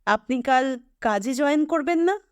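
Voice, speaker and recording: Woman reading a genial, curious man, high-pitched. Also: expressive, measured pace, no room sound. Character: genial, curious male city office-worker on holiday.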